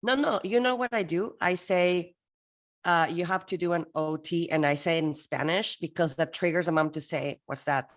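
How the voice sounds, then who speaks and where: A woman from Peru presenting a workshop for interpreters, lively and playful, acting out little dialogues as she teaches. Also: lowish voice, normal speed.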